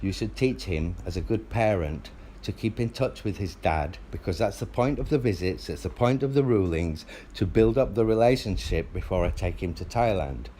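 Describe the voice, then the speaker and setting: A father on a phone call, arguing in a loud, insistent, lecturing way with the phone held close to his mouth. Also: on the low side, expressive, normal speed, slight background noise. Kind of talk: heated phone argument.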